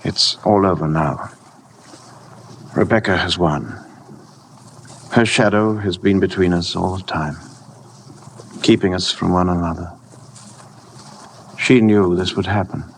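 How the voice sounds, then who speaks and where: Deep-voiced, brooding husband. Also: male voice, steady tone. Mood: resigned. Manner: grave, measured, weary. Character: tormented, brooding husband, grave and fatalistic. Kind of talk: 1950s radio drama acting. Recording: noisy old 1950 radio broadcast.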